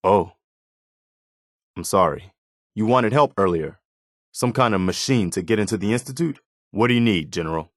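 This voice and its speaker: A man's voice: on the low side, expressive, normal speed, pauses often, no echo, studio quality, natural voice. An American man voicing an earnest, loyal, plain-spoken militia officer in a post-apocalyptic video game. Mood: thoughtful. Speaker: video game companion character. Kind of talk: scripted video game dialogue.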